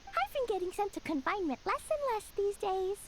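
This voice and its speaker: A woman's voice: very high voice, sing-song, brisk, heavy background noise. A woman voicing a small, sweet, eager and mischievous little girl in a video game, with an earnest childlike manner. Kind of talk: animated video game voice acting.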